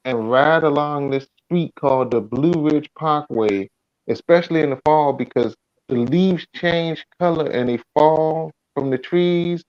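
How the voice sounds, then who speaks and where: Man, folksy live-stream host, fairly high pitch, relaxed and chatty. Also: lo-fi sound. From Virginia, USA. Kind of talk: casual chat.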